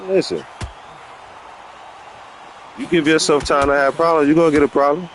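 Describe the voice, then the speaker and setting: A man vlogging casually on a dog walk outdoors, animated and slangy, often breaking into laughter. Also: a little high, moderate pace, slight background noise, poor audio. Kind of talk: casual vlog chat.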